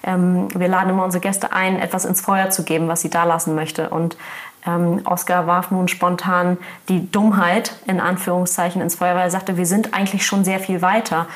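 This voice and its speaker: A woman's voice: lowish voice, level tone, normal speed. A woman hosting a podcast, talking in a chatty, curious way and asking follow-up questions. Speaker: podcast host. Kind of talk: casual interview chat.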